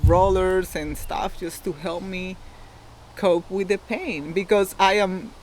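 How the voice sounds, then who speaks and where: A woman's voice: on the low side, steady tone, takes it slowly, a little mellow. Female interview guest, Costa Rican Spanish accent, candid and explanatory storytelling, faint background noise. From Costa Rica. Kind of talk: personal storytelling in casual interview.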